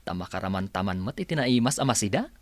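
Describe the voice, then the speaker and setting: A man's voice: on the low side, sing-song, fast, no room sound. A man playing an easygoing, stubbornly hopeful young husband in a radio drama, talking casually. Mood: dismissive, hopeful.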